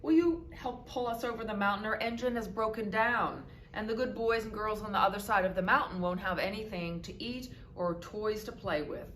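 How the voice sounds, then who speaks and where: A woman's voice: mid-range voice, normal speed, hardly any pauses, fairly bright tone, pitched above the performer's own voice, lightly acted. A woman voicing little storybook dolls and toys, imploring as they cry out for help. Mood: pleading. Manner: imploring, crying out. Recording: roomy echo with background noise.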